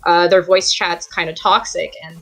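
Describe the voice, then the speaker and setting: A young woman co-hosting a stream interview, asking questions in a friendly, relaxed way. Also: fairly low pitch, normal speed, faint music behind. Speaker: stream co-host.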